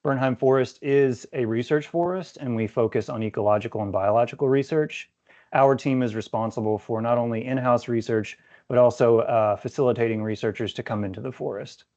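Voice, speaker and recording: A man giving an informative slide presentation in a measured, explanatory way, with a voice that is starting to go. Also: medium pitch, dark tone. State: losing his voice, clearing throat. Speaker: conservation staff presenter. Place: virtual summit video call. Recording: dull lo-fi online call audio.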